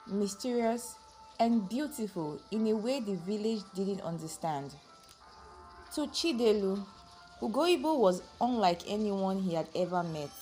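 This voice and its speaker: A woman storyteller narrating a scripted folktale in a dramatic, expressive way. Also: medium pitch, rough recording.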